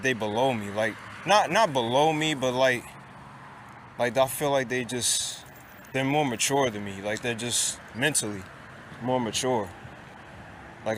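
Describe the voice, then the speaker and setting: A man's voice: medium pitch, fairly bright tone, a little hiss or hum. A man in his late twenties talking casually to his viewers in a rambling way, with many restarts and fillers. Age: 20s. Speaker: vlogger. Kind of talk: casual monologue to camera.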